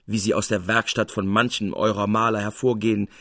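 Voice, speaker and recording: A man's voice: fairly low pitch, little pitch movement, brisk, fairly bright tone, no echo, studio quality. A man voicing a bold, sardonic master painter with an emphatic, commanding delivery in a literary reading. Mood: mocking.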